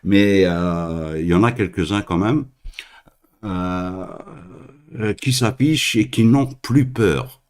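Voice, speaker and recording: Male call-in host from Algeria; unhurried, halting delivery full of fillers. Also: sits low. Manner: hesitant, halting, many fillers and restarts. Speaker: host of a live call-in stream. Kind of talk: live call-in talk.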